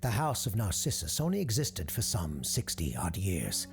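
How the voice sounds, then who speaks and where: A man reading scripted, eerie documentary-style narration in a measured, deliberate way with dramatic pauses. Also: deep voice, huge pitch swings, vocal fry, breathy edge, soft background music. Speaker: host and narrator.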